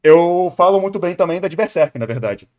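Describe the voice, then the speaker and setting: Higher-pitched Brazilian man, casual streamer. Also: animated, a little fast, a little mellow. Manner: conversational, opinionated, thinking aloud. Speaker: streamer reacting to a video. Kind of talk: casual live reaction commentary.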